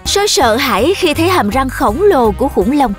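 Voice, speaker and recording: A woman's voice: sits high, sing-song, normal speed. A woman narrator reading a scripted children's story. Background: music.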